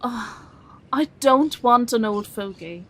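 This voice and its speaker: Adult daughter for audio drama: higher register, wry, teasing, affectionate, reluctant. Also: female voice, expressive, takes it slowly, a bit bright, exaggerated voice. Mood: wry, exasperated.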